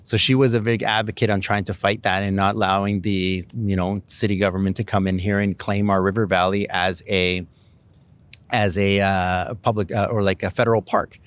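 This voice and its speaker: Canadian man, middle-aged candidate; loose, filler-heavy talk, deep-ish voice. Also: flat delivery, moderate pace, clean tone, no echo. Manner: rambling, many fillers and restarts. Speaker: city council candidate. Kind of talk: casual interview answers.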